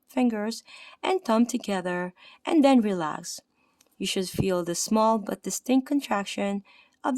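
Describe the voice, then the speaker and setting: A woman's voice: medium pitch, darkish tone, no room sound. A tired woman with a Filipino accent reading an anatomy textbook aloud, correcting her own slips and adding "okay" and "right?". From Philippines. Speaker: anatomy study presenter.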